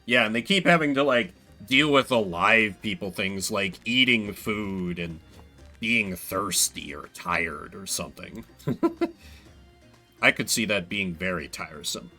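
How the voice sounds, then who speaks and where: A man's voice: fairly low pitch, lively intonation, slow, bright, forceful tone, soft background music. A man giving casual, wry solo commentary as he streams a video game.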